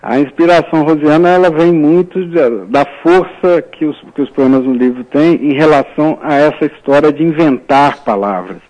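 A man's voice: a little high, normal speed, few pauses, a little mellow, low-quality recording. A man, a writer, talking as a guest in a radio interview, speaking thoughtfully and hesitantly, with many fillers and restarts.

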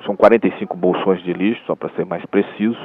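A man's voice: low-pitched, fairly even intonation, fast. A man who edits a university newsletter, answering interview questions on the radio, hesitant, restarting phrases and adding tag questions. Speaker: newsletter editor, radio guest. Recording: phone-line quality.

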